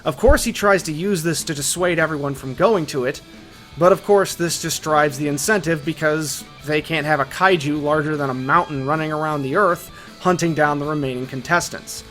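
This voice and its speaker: A man's voice: fairly high pitch, normal speed, a bit bright. A man giving scripted, emphatic storytelling narration as the narrator of an analytical video essay. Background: background music.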